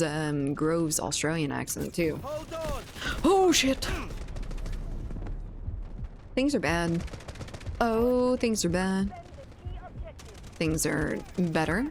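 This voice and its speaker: A woman's voice: on the low side, slight background noise. A young woman streamer, chatting casually with viewers, rambling and laughing often. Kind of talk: casual chat with viewers while gaming.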